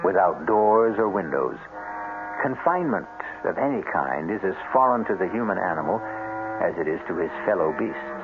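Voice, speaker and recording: A man's voice: low-pitched, expressive, moderate pace, noisy background. A man narrating a radio mystery drama in a theatrical, wry and ominous manner. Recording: tinny band-limited old radio broadcast.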